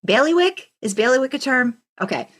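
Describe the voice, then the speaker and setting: A woman's voice: high-pitched, expressive, brisk, fairly bright tone. A woman co-hosting a podcast, telling stories casually in an enthusiastic, rambling way. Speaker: podcast co-host. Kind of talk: casual conversational storytelling.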